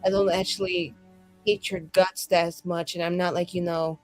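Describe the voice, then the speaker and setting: A woman's voice: on the low side, little pitch movement, moderate pace, darkish tone, pitched below the performer's own voice, close to an everyday voice. A woman playing a dramatic, flippant, sweary scheming sorceress at a tabletop game. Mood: quoting, mock sincere. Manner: theatrical, hedging, flippant. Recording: music behind the voice.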